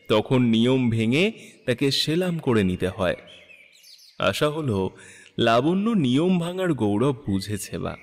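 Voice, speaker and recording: A man narrating a literary story in an expressive, measured, dramatic style. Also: mid-range voice, in a large hall.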